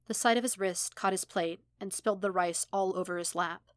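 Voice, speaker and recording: A woman's voice: medium pitch, fairly quick, no room sound. Dry-sounding woman narrating fiction. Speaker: fan fiction audiobook narrator. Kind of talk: scripted narration.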